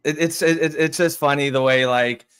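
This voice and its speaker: A Canadian man chatting about politics with a sarcastic, mocking edge. He trips over restarts and fillers, and his voice sits a little high for a man. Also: fairly even intonation, normal speed, a bit bright, clean tone. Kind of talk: casual political chat.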